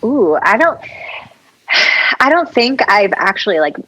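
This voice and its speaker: Raspy-voiced young woman, candid chat. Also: mid-range voice, sing-song, measured pace, fairly bright tone, rough recording. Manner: candid, chatty, heavy on filler words. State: hoarse from vocal cord injury. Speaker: podcast guest. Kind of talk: casual interview chat.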